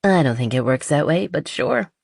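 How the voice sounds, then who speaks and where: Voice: medium pitch, very animated, normal speed, vocal fry, no room sound. Narrator as confident young woman, playful, teasing, upbeat, romance audiobook character, dry close sound.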